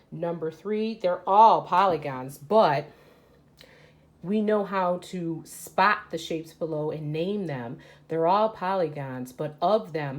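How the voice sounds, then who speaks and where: A woman teacher reading aloud to children and explaining as she goes, often asking questions and answering them herself. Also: sits low. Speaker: elementary school teacher. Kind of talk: read-aloud lesson for children.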